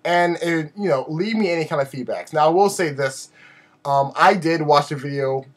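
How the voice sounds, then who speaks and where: Male vlogger, fairly high voice with a slight sore-throat rasp; animated, rambling, self-deprecating, casual delivery to camera. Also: normal speed. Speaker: video blogger. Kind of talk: casual update vlog to camera.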